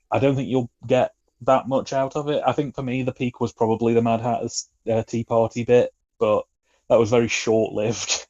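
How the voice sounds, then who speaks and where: A man's voice: mid-range voice, a little mellow. A man chatting casually as a podcast guest, with wry, colourful phrasing. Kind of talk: casual chat. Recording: dull lo-fi call audio.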